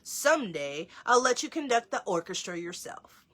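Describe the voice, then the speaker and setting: Woman as a grand, loud, genial conductor. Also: medium pitch, big pitch swings, normal speed. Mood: approving. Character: grand, self-assured male orchestra conductor, storybook.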